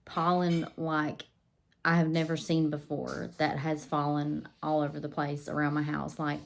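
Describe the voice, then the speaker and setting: A woman's voice: low-pitched, level tone, a little slow, gravelly. A woman vlogging about homeschooling, her voice a little scratchy and nasal from pollen, chatty with many ums and restarts. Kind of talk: casual to-camera talk.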